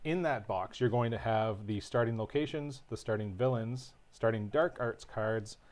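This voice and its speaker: A man co-hosting a board game livestream, calmly walking through setup and rules in a relaxed, explanatory way. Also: fairly low pitch, normal speed. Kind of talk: casual livestream rules teaching.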